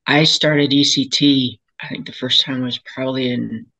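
Raspy older woman with a cold. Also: a bass voice, fairly even intonation, moderate pace, sharp tone, low-quality recording. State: hoarse from a cold. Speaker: patient sharing her experience. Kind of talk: personal testimony at a panel. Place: home room, indoors, seated in a chair. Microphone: video call webcam.

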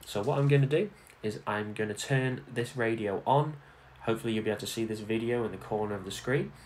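Man, lowish voice, casual tech tutorial, informal conversational explaining, presenter at a desk. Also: slight background noise. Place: indoor room at a home desk.

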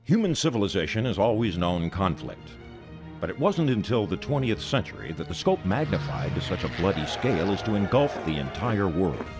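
An actor playing a solemn, stirring wartime narrator, delivering grave and rousing scripted narration for a video game. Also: male voice, low-pitched, sing-song, fairly quick. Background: music.

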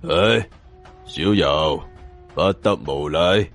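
Male narrator as older Cantonese crime boss: deep, slow, composed, cunning, audiobook drama over music. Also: heavily acted. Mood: coldly polite.

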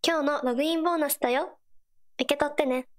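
Game companion, teenage girl, played by a woman: high voice, earnest, gentle, supportive, short encouraging lines. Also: fairly even intonation, fast talker, close to an everyday voice. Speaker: game character voice actor. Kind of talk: scripted game character voice lines.

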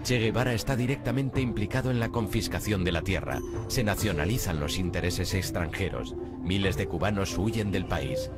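A man narrating a history documentary in a measured, grave, scripted delivery. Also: low-pitched. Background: music bed.

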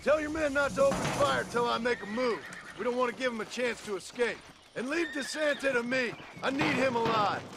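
An actor with an American accent playing a gruff, sardonic gunslinger of few words in a western video game. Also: male voice, very high voice, fairly quick, very noisy. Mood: commanding. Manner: laconic, sardonic. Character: gruff, dry-witted American gunslinger turned bounty hunter.

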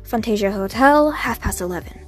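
A woman playing a formal, courteous queen in an amateur animated movie. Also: mid-range voice, normal speed, soft background music, lightly acted.

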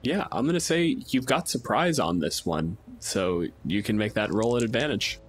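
A man's voice: lowish voice, lively intonation, moderate pace, a little hiss or hum. A man running a tabletop game as game master, explaining in a conversational way. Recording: music behind. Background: clearly audible music.

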